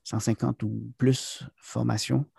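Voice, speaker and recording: A man with a Québécois French accent talks through an interview in a gravelly, husky voice. His tone is dark and mellow, the sound dry and close. He hesitates often, restarting phrases and filling gaps with "euh". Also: mid-range voice, flat delivery, slow, no room sound. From Quebec. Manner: hesitant, many fillers and restarts. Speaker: guest, trainer and author. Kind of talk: casual interview talk.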